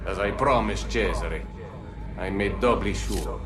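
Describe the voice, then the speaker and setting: An actor playing a villainous poisoner in a video game, smug, deliberate and menacing. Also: male voice, sits low, music in the background. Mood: gloating, menacing. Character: gloating villainous male poisoner, slow and menacing. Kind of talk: dramatic game acting.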